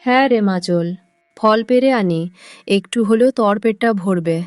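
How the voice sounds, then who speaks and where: A woman's voice: medium pitch, moderate pace, darkish tone, no echo, faint music behind, lightly acted. A woman playing a meek, tender young mother in a cartoon moral story, speaking plaintively and humbly. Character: meek, pleading young mother cast out with her daughter.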